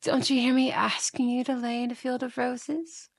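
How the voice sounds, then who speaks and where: A woman's voice: sits high, little pitch movement, moderate pace, vocal fry, dry recording. A woman playing a seductive, mocking yandere demon in an ASMR roleplay, coaxing and theatrical, often slipping into whispers. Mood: teasing, flirtatious.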